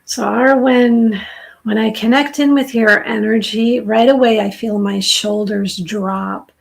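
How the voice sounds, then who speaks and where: Middle-aged New woman, raspy and gravelly, dry throat, slow soothing tarot reader. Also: fairly high pitch, fairly even intonation, poor audio. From southern New Hampshire. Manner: slow, soft, soothing intuitive reading. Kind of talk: live-stream tarot reading.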